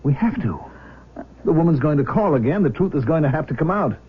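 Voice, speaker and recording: A man's voice: on the high side, expressive, brisk, husky. An actor playing a protective, reasoning middle-aged father in a radio drama, earnest and firm. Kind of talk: 1970s radio drama acting. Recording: dull, noisy 1970s radio recording.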